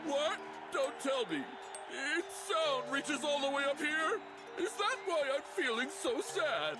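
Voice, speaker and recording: A man's voice: very high-pitched, sing-song, unhurried, exaggerated voice. A man playing a pompous, sentimental overlord in a video game, with flowery, melodramatic delivery. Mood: startled. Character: theatrical, pompous, sentimental male tournament overlord. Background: loud game music.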